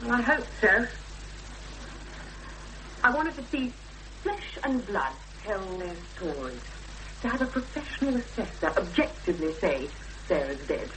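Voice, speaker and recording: A woman's voice: mid-range voice, sing-song, measured pace, long pauses, a bit bright. An actress playing an earnest, uneasy young English woman in a 1970s British radio drama, speaking with hesitant intensity. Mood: quietly insistent. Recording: noisy, humming 1970s radio drama recording.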